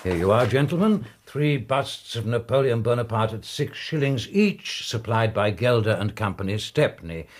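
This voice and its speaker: An actor playing a proud, obliging Victorian English shopkeeper in a radio drama, polite, precise and a little self-important. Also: male voice, medium pitch, animated, normal speed, hardly any pauses, natural voice. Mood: businesslike.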